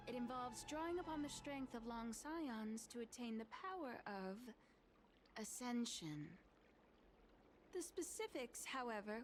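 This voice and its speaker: A fairly high female voice for a composed, gracious alchemist in a video game. Her delivery is measured, formal and courteous. Music plays clearly behind her. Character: composed, gracious blind woman, chief alchemist.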